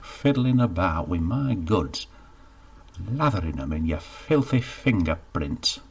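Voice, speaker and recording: A man's voice: low voice, big pitch swings, takes it slowly, husky, full character voice. A man voicing a bad-tempered baker, blunt and scolding, cursing freely.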